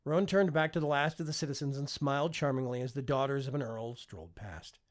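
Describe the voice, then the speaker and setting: A man narrating a fiction audiobook with expressive, scripted storytelling delivery. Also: medium pitch, fast talker.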